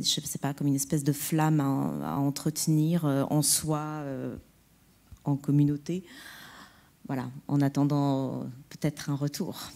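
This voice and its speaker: A woman poet at a round-table discussion, speaking reflectively and pausing to find her words. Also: deep voice, dark tone.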